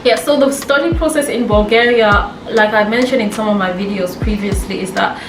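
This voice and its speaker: A woman's voice: mid-range voice, a little fast, far from the mic. A young woman in her twenties, a medical student vlogger, chatting casually with many fillers and easy laughs. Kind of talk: casual chat. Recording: roomy echo, a bit off mic, music behind.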